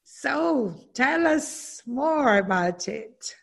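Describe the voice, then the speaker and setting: Brief: slow-paced woman host, asks questions with halting fillers. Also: high-pitched, animated, vocal fry, breathy edge. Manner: hesitant questions with many fillers. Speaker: interviewer. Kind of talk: casual interview.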